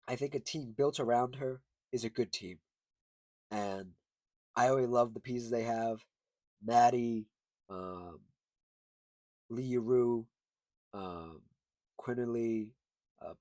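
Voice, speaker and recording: A man's voice: mid-range voice, darkish tone, no echo. A man with a congested voice giving casual, unscripted sports commentary as a video host, thinking aloud as he goes.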